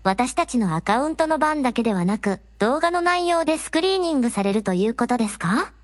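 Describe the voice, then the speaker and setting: A courteous princess voiced by a synthetic woman in a radio drama. Her voice is high, and her speech is formal, gracious and ladylike. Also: animated, fast, close to an everyday voice. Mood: inquiring.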